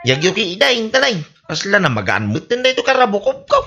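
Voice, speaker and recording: An actor with an Ilocano accent playing a boastful, wheedling drunk in a radio comedy, slurring and rambling. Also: male voice, high voice, huge pitch swings, a little fast, sharp tone, heavily acted. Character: boastful, wheedling drunken village man buying on credit.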